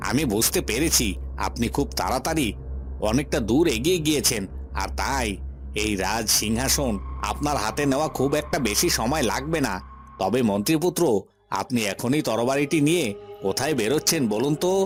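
A man's voice: mid-range voice, animated, brisk, faint music behind, natural voice. A man voicing a mercenary henchman in a cartoon, with a questioning, deferential manner. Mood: deferential, curious. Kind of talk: Bengali cartoon voice acting.

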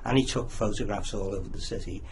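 Low-voiced Liverpool man, noisy background. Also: fast talker. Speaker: author interviewed as guest. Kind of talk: conversational radio interview. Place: small community radio studio. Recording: clear background noise, room ambience.

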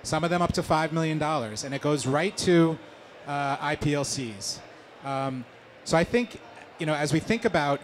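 A man speaking as a panelist at a conference, thinking aloud with frequent pauses and restarts. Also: a little high, a little hiss or hum. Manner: thoughtful, many fillers and restarts. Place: large conference pavilion indoors.

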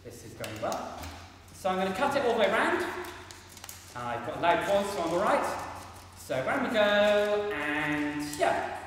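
A young man giving an energetic, self-deprecating maths demonstration on stage in a large hall, chatting with the audience while using a handheld mic that he sometimes puts down. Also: sits high, a little slow, far from the mic, background noise. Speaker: maths performer at a variety show. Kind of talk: live stage talk with hands-on demonstration. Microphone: handheld mic, sometimes set down. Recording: echoey hall, voice picked up from afar.

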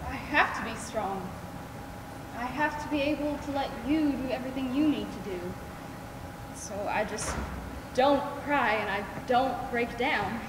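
A woman's voice: high-pitched, measured pace, far from the mic. A young woman on stage playing a caring, steadfast friend with earnest, projected delivery. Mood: stoic. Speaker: stage actress in a school play. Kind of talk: theatrical stage acting. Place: large theatre stage, indoors. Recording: echoey theatre hall, clear background noise.

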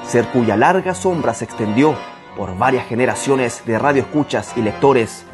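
A man's voice: mid-range voice, moderate pace, music in the background. A man in his thirties with a Chilean accent, hosting and narrating a scripted documentary.

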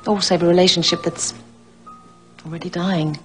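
A middle-aged woman acting a troubled married teacher in a television drama, speaking with an English accent, stammering, breathy and hesitant. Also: on the low side, fairly even intonation, moderate pace, soft background music, lightly acted. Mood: earnest. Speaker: teacher in a soap opera.

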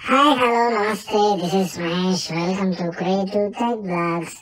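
Man as high-pitched little child. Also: drawn-out words, fairly bright tone, full character voice, low-quality recording. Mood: plain greeting. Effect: child voice-changer filter.

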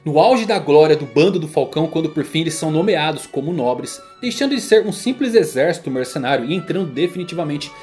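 Brazilian man narrating over music, higher-pitched voice. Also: expressive, quick pace, few pauses, a little mellow. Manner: solemn, theatrical storytelling. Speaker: YouTube anime and manga narrator. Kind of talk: scripted dramatic story narration. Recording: music under the voice. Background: background music.

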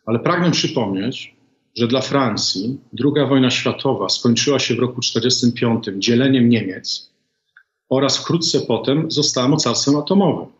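A middle-aged man as an interview guest, giving a measured political analysis in a deliberate way with many hesitant pauses, heard over a video call. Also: mid-range voice. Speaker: guest, former ambassador. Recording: echoey, dull video call audio.

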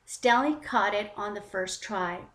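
A woman hosting a children's story time, narrating in an expressive, deliberate read-aloud style. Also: mid-range voice. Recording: roomy, echoing voice recording.

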